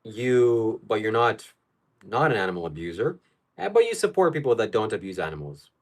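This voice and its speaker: A man from Ukraine hosts a casual live stream, reading chat questions and answering them bluntly. His voice sits a little low, and his opinions come out flat and certain. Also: normal speed.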